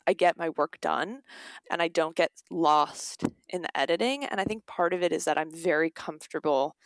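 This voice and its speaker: Female, young adult, podcast guest. Informal, gravelly edges, dry sound. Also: low voice, moderate pace, no room sound. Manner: informal, peppered with "like" and quoted asides. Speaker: guest, author. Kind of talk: casual interview conversation.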